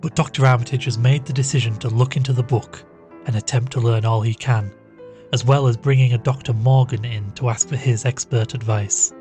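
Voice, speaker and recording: An actor playing an earnest young male investigator in an audio drama, narrating and questioning in a measured, deliberate, inquisitive way. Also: medium pitch, a little mellow, close to an everyday voice.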